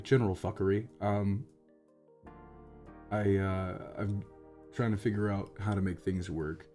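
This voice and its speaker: An American man chatting casually and unscripted to his audience, halting and rambling, with self-deprecating, sweary asides. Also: deep voice, mellow. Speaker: voice actor, channel creator. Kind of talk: casual unscripted outro chat.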